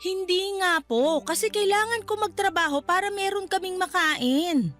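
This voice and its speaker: A woman's voice: extremely high pitch, normal speed, fairly bright tone, faint music behind. A woman playing a young Filipino widowed mother in a radio drama, respectful but firm as she defends her children. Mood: pleading, defensive.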